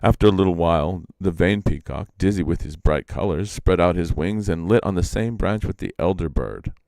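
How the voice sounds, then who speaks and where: A man reading folk legends aloud as a storyteller, expressive and theatrical. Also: deep voice, moderate pace, gravelly, no room sound.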